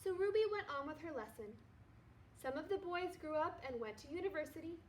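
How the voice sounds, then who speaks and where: Casting: female second grade teacher, high-pitched, storybook read-aloud with noticeable background noise. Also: expressive, a little fast.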